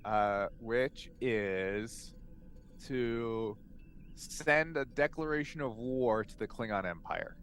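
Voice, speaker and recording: A man talking casually as a player in a tabletop game, informal and thinking out loud. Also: medium pitch, takes it slowly, slight background noise.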